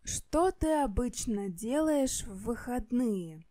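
A woman language teacher whose native language is Russian asks short practice questions in a clear, scripted way. Her voice sits a little high but sounds mellow and soft-edged. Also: expressive, slow.

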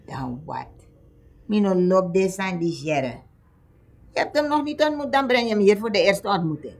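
A woman's voice: mid-range voice, animated, moderate pace. An older woman playing a blunt, suspicious grandmother who scolds and questions in film dialogue. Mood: suspicious, questioning. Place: dim living room indoors.

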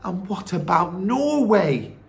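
A man's voice: high-pitched, big pitch swings, slow, gravelly, voice pushed up, heavily acted. A man voicing an anxious, eager young boy who has been turned into a mouse, exclaiming and questioning in a storybook reading. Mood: distressed protest.

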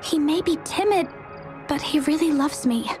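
A woman playing a worried, gentle young girl in a video game, speaking earnestly. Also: high voice, moderate pace, music in the background, close to an everyday voice. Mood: troubled.

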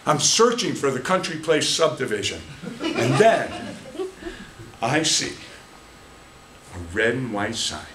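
An American man in his sixties giving a theatrical storytelling speech, dramatic and emphatic with deliberate pauses. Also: mid-range voice, big pitch swings. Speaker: speech contest competitor. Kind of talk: theatrical tall-tale storytelling speech to a live audience. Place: small meeting room, indoors. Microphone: camera a few meters away. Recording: echoey room, faint noise, distant camera mic.

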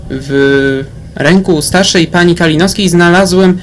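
A man playing a polite forensic doctor who talks carefully and haltingly in a crime radio play. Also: high-pitched, slight background noise. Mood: hesitant, grave.

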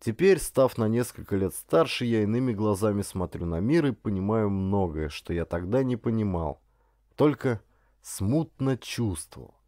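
A man reading a first-person literary story aloud as an audiobook narrator, in an expressive storytelling manner. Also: on the low side, moderate pace, no echo.

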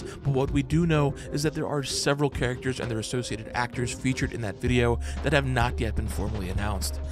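A man hosting an explainer, reading scripted narration in a breakdown style. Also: mid-range voice. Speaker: host of fan breakdown channel. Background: background music.

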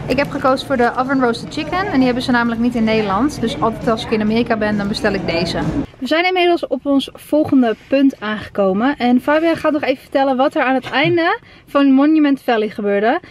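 A young woman from the Netherlands talks chattily to her camera on a road trip. Her voice sits high and light. Car and wind noise run clearly underneath the camera audio. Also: quick pace. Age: 20s. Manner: chatty and upbeat, talking to the camera. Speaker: travel vlogger. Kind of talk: casual travel vlog narration. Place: inside a car and outdoors in windy desert. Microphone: camera held at arm's length.

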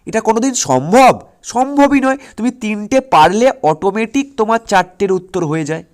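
A man's voice: high-pitched, sing-song, normal speed. A man coaching school students for exams in an emphatic, directive way, repeating his instructions and checking that they follow. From West Bengal, India. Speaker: school exam tutor.

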